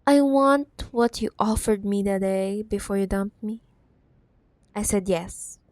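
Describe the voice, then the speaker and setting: Casting: woman as possessive corporate villainess ex, teasing and condescending, unhurried, intimate ASMR roleplay, very dry recording. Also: medium pitch, dry recording. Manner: teasing, condescending, drawn-out words with pet names. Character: possessive, teasing cyberpunk CEO ex-girlfriend villainess. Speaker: audio roleplay voice actor. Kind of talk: ASMR audio roleplay acting.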